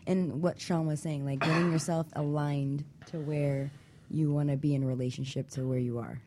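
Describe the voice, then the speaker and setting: A woman's voice: low-pitched, fairly even intonation, moderate pace, warm tone, a little hiss or hum. A woman co-hosting a motivational talk show, joking and asking questions. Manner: jokey, laughs through lines. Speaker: co-host.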